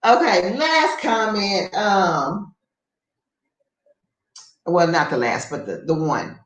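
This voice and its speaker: A woman's voice: fairly low pitch, lively intonation, slow pace, recorded from a distance. A woman hosting a casual talk radio show, reading listener comments aloud and greeting viewers in a playful way. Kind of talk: casual chat. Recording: echoey, heard from off mic.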